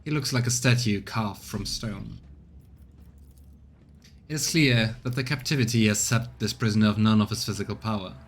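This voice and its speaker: A man's voice: lowish voice, normal speed. A man streaming a game, reading its text aloud as narration and adding casual comments of his own. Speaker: streamer, let's player.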